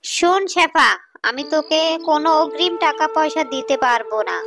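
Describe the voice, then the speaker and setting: Woman voicing a high, stern, stingy tigress. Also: moderate pace. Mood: irritated. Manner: curt, disdainful. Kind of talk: animated children's story dialogue.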